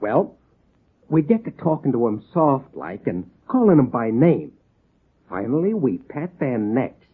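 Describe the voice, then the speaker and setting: A man playing a patient, folksy circus seal trainer, coaxing and a little halting, in a 1930s radio serial. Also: medium pitch, sing-song, husky. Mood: explaining. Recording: tinny, band-limited old radio recording.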